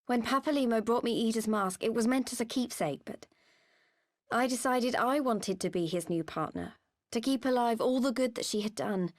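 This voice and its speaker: An actress playing an earnest, quietly resolute young woman fighter in a video game, speaking sincerely and with measured feeling. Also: on the high side, no echo, studio quality, natural voice. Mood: confessional, regretful. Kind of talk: video game cutscene voice acting.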